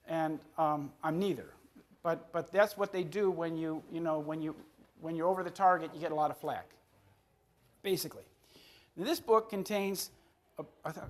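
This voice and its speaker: Halting man in his fifties giving a talk. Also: on the high side. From Chicago area, USA. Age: 50s. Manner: halting, repeats words and restarts phrases. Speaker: author on a book tour. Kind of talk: public talk to an audience.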